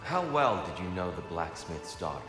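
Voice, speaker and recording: An actor playing a gentle, wise god guiding someone in a video game, kind, measured and earnest. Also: male voice, low voice, very animated, music in the background. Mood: compassionate. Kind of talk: video game drama acting. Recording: echoing hall with long reverb.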